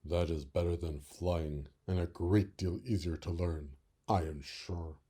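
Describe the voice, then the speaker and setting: A man voicing an old schoolteacher frog, croaky and schoolmasterly, gruff but kindly, in a children's storybook. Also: deep voice, steady tone, unhurried, warm tone, voice pushed down.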